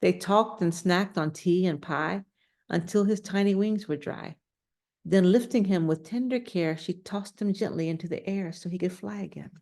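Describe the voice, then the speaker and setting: A woman's voice: lowish voice, normal speed, a little mellow. A woman reading a children's storybook aloud, adding casual, simple explanations and questions for young listeners. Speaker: storybook reader.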